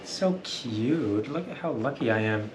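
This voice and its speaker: A man's voice: mid-range voice, animated, unhurried, noisy background. A man chatting casually in a travel vlog as a companion, making informal, offhand remarks. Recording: handheld vlog with loud background music.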